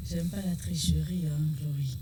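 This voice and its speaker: A woman's voice: low-pitched, steady tone, moderate pace, heavy background noise. A woman co-hosting a radio show, speaking in a reflective, preacher-like way. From Togo. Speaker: radio co-host. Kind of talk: casual radio talk.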